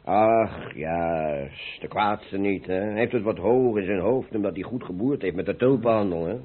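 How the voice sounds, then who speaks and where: An actor playing a proud, hot-tempered but good-humoured painter in a vintage radio play, with husky, blustering, earthy talk. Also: male voice, fairly low pitch, normal speed, slight background noise, lightly acted. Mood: soothing, rueful. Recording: muffled narrow-band old radio recording.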